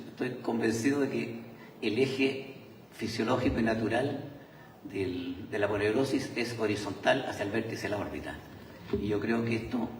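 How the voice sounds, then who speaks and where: Chilean surgeon in an echoey hall. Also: male voice, lowish voice, recorded from a distance, a little hiss or hum. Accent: Chilean Spanish. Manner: hesitant, many filler sounds and restarts. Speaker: surgeon presenting at a conference. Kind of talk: conference talk. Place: large lecture hall.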